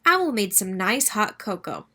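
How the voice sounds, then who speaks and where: Bright, animated woman storyteller. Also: mid-range voice, a little slow. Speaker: read-aloud storyteller. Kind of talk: expressive children's story narration.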